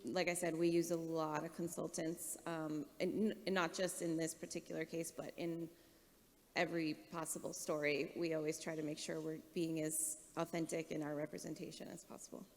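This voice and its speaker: A woman's voice: low-pitched, little pitch movement, normal speed. A woman explaining things as a television writer on a panel, recorded in an echoey hall. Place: large indoor conference hall.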